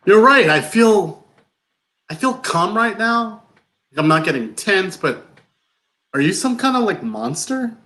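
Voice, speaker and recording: A man playing a frustrated, incredulous novice farmer in a live stage play reading, with halting, colloquial delivery. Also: high-pitched, sing-song, pushed and bright, exaggerated voice. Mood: forced calm, exasperated.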